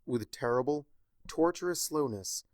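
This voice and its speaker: A man reading a story aloud with a wry, expressive delivery. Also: mid-range voice, a little slow, no room sound, studio quality. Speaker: fanfiction narrator.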